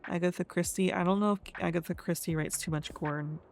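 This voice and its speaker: A woman commentating casually as she plays a video game, hoarse and losing her voice, talking quietly to herself. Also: lowish voice, fairly even intonation, fast, soft background music. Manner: quiet, subdued, talking to herself while playing. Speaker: gaming video host. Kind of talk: casual let's-play commentary.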